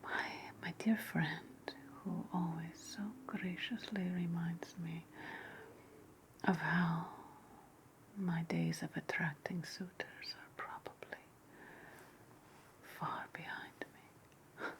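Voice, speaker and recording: A woman playing a wry, spiteful ancient Roman witch in a whispered ASMR roleplay, breathy and sweetly sarcastic. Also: lowish voice, fairly even intonation. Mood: mock gracious. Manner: slow, deliberate, sweetly sarcastic.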